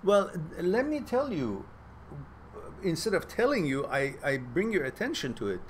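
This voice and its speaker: A man from Iran, a Farsi speaker, hosting a casual live chat and giving earnest, reflective advice with loose pauses and fillers. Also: a little high, very animated, a little hiss or hum. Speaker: host reading live chat questions.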